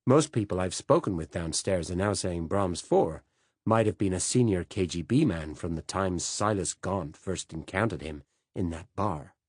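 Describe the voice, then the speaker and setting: Man putting on a brusque American spymaster, sardonic, deep, husky, lively pitch. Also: normal speed, dry recording, studio quality. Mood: probing. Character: blunt American male senior intelligence boss.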